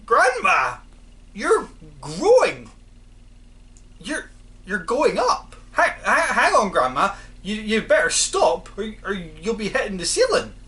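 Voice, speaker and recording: Woman as young boy, high-pitched, loud, pleading, stammering. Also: lively intonation, slow, few pauses. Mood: alarmed. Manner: pleading, stammering when alarmed. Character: anxious young boy, earnest and alarmed.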